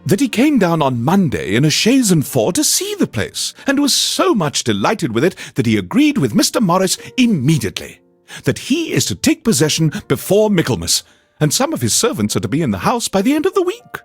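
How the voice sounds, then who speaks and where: A man voicing a fussy, excitable middle-aged wife in a theatrical, gushing storybook reading. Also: a little high, fairly quick, husky, no echo, soft background music, pitched above the performer's own voice, heavily acted. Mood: gossipy, eager. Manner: theatrical, fluttery, exclamatory. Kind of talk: storybook reading in a game radio show.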